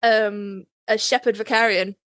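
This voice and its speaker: A woman hosting a casual podcast chat in a playful, animated storytelling manner. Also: medium pitch, a little slow, no room sound, studio quality. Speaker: podcast host.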